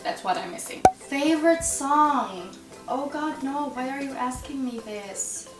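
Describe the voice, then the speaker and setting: A woman's voice: high voice, measured pace. A young Dutch woman of twenty-one rambles cheerfully to camera, giggling and filling gaps with likes and ums. She sounds set back from the mic in a roomy space, with music running underneath her voice. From Netherlands. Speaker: YouTuber answering a tag. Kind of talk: casual chat to camera. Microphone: camera mic at a distance. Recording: roomy, distant, with music behind. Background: music playing under the voice.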